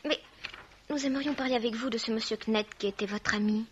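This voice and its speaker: Courteous, earnest young female investigator acted by a woman; high voice, radio mystery, noisy dull recording. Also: fairly quick, close to an everyday voice. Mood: earnest, soothing. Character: polite, soothing young woman investigating with her brother. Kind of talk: 1950s French radio mystery acting.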